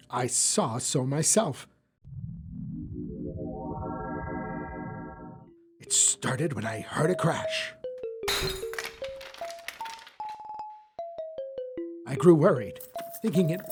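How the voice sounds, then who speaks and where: A man playing a worried, flustered professor in an audio drama, speaking hesitantly with stammers. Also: on the high side. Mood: distressed.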